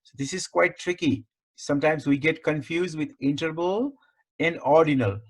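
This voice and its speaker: A man with a fairly high voice teaches an online class. He explains in short, segmented phrases, deliberately restating each point as a tutor would. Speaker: statistics tutor, lecturer. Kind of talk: explanatory online lecture over slides.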